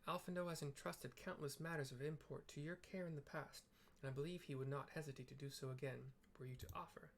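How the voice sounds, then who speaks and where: A man voices an eloquent scholarly ally in a game story. The delivery is formal and measured, a little high for a male voice, with noise in the background. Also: close to an everyday voice. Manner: measured, archaic, courteous phrasing.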